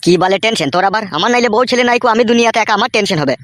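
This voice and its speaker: A man's voice: high-pitched, very fast, fairly bright tone, no room sound, studio quality. A man voicing a blunt, mocking, foul-mouthed village friend in a comedy cartoon, lecturing coarsely.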